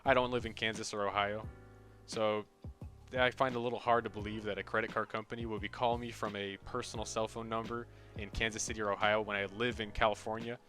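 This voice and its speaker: A man's voice: fairly low pitch, flat delivery, fast talker, fairly bright tone. Sarcastic young American man over music. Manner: sarcastic, profane. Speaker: video creator. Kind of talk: casual comedic rant commentary.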